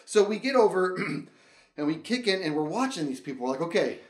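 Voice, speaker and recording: An excitable man with a high voice tells a personal story for laughs. His delivery swings widely up and down as he dramatically acts out reactions and dialogue. The room adds noticeable echo around him. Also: normal speed. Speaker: storyteller, show host. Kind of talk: animated comedic personal storytelling.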